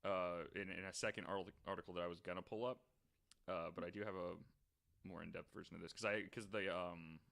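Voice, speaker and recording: A man's voice: low-pitched, steady tone, quick pace, vocal fry, a bit bright. A man on a podcast panel, chatting casually and reading stories aloud.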